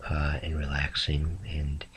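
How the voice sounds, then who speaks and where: A man sick and rambling softly to his camera late at night in a raspy, half-whispered voice. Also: rumbling low, monotone. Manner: slow, meandering, soft-spoken. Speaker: vlogger.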